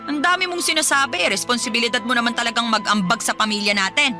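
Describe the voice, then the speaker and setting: A woman's voice: a little high, fast talker, over music, natural voice. A woman acting a harsh, nagging mother in a Filipino radio drama, scolding and belittling with a Filipino accent. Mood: demanding, angry.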